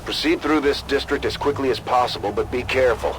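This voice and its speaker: A man playing a steady, watchful military commander who gives businesslike orders in a video game. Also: medium pitch, fairly quick, noisy background, natural voice. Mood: steady, commanding. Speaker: mission commander. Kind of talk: video game radio briefing.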